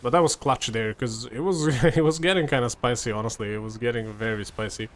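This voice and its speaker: Young Serbian man, native Serbian speaker, easygoing game commentator, mid-pitched voice, loose and chatty delivery. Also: a little fast. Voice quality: relaxed, chatty, many fillers and restarts. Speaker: gameplay commentator. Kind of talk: casual gameplay commentary.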